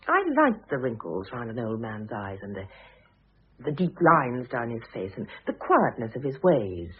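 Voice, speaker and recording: Mature wife, husky, animated intonation, wry, affectionate, old radio drama on a tinny band. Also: female voice, sits low, exaggerated voice. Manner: gentle, teasing. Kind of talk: classic British radio drama acting. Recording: muffled phone-like old radio recording.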